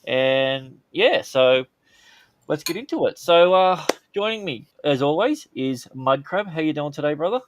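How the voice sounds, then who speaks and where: A man's voice: on the high side, lively intonation, measured pace, a little hiss or hum. An Australian man hosting a casual comedy podcast, rambling with lots of fillers and crude jokes. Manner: rambling, many fillers, crude jokey banter. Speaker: podcast host.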